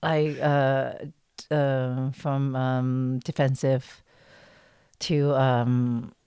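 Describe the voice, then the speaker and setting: Low-pitched woman, halting and giggly. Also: flat delivery, warm tone, smooth, dry recording, clean studio sound. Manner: halting, searching for words, giggly. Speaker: guest, voice actress in an audio drama series. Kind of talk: casual podcast interview chat.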